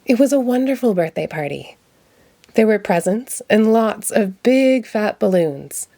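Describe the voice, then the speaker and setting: Lady narrating a picture book, mid pitch, read-aloud for kids. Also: lively intonation, a little slow, creaky, dark tone, no echo. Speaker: storybook reader.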